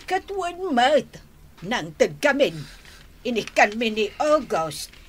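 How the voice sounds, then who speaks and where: Watchful grumbling mother, radio drama, Northern Philippine accent, fairly high, very expressive pitch. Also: slow pace, a little hiss or hum.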